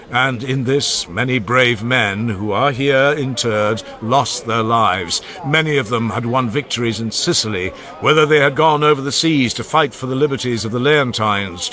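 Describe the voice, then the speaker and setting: A man narrates a classical funeral speech in a solemn, declamatory style. His voice sits fairly low yet sounds bright and forceful, rising and falling sharply for emphasis. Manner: solemn, declamatory oratorical delivery with wide swings in emphasis. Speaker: audiobook narrator. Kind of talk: dramatized audiobook reading of a funeral oration.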